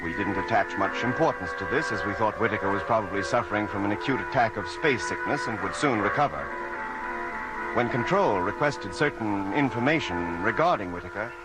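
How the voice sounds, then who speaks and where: An actor playing a ship's doctor who narrates a space voyage log in a measured, sober way for an old radio drama. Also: male voice, lowish voice, animated, loud music behind the voice, natural voice, rough recording. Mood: grave, measured.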